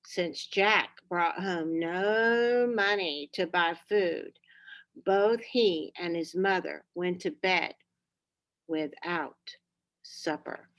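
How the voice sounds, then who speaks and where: A woman reading a children's story aloud in an expressive, deliberate way. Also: lowish voice, highs cut off. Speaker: storybook reader. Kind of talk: scripted children's story narration.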